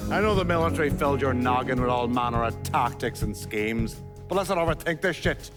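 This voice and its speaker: An actor playing a brash, hot-headed middle-aged Irish gangster with an Irish accent in a video game, mocking and flippant. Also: male voice, mid-range voice, expressive, brisk, over music, lightly acted. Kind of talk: cinematic video game acting.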